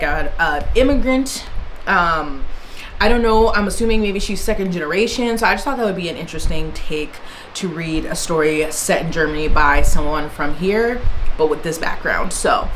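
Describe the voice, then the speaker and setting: A woman's voice: medium pitch, a bit bright, slight background noise, rough recording. Chatty woman rambling about books. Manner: chatty, rambling, many fillers and restarts. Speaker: book vlogger. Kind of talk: casual book haul chat. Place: indoors, in Germany.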